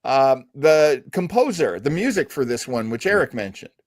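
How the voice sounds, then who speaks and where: A man's voice: medium pitch, big pitch swings, normal speed, no room sound, studio quality. A man co-hosting a casual fan podcast, talking in an informal conversational way. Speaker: podcast co-host.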